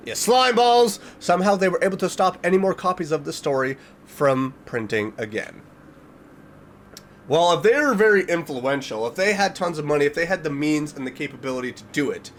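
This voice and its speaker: A man with a slightly high voice and very animated pitch gives casual reaction commentary as a video host. He reads aloud and reacts expressively, stretching words for emphasis. There is faint noise behind him.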